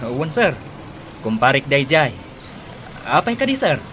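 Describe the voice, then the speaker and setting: Inquisitive Filipino driver. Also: male voice, mid-range voice, very animated, a little slow, a bit bright, a little hiss or hum. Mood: polite, curious. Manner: deferential, inquisitive. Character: curious, friendly male driver at a terminal. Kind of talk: radio drama acting.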